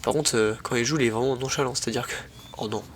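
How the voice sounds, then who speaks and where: Young dude with a head cold, chatty slangy match commentary, mid pitch, slight noise behind. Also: male voice, fast. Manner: slangy, excitable, talks to viewers as "les mecs". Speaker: tennis vlogger commenting his own match. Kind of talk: casual match commentary voice-over.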